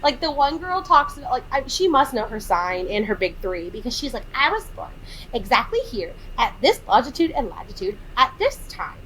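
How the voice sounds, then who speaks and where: A thirty-year-old woman co-hosting a podcast, recapping a film in casual chat, animated and exasperated as she acts out quotes. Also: a little high, normal speed, a little hiss or hum, low-quality recording. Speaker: podcast co-host.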